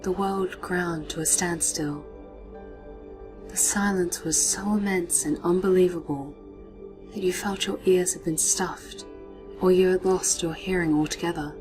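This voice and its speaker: A woman reading a story aloud, often dropping into hushed, near-whispered narration. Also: fairly low pitch, fairly even intonation, measured pace, pauses often, music in the background.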